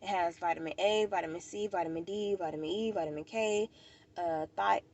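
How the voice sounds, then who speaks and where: An American woman with a fairly low voice that often drops into creaky fry. She sounds stuffed up in the nose and talks informally to camera as a vlogger, rambling along and circling back over her own words. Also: brisk, a little mellow. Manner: informal, rambling, repeats herself. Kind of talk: casual chat to camera. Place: outdoors at a park.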